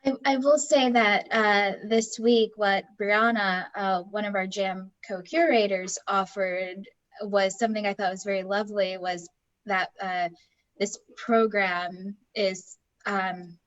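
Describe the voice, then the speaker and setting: A woman's voice: mid-range voice, fairly even intonation, slow pace. A woman chatting off the cuff on a group video call, searching for her words as she goes. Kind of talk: casual, unscripted group talk. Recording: dull, muffled call audio.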